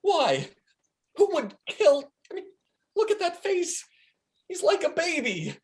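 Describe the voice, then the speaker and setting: A woman's voice: very high-pitched, wildly swooping pitch, slow, a little hiss or hum, exaggerated voice. Woman playing brash, foul-mouthed schemer, wildly swooping delivery. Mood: angry, defiant. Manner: sarcastic, profane, blustering. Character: Steve, brash, foul-mouthed, paranoid, grieving male schemer. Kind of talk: comic script table reading. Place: remote video call.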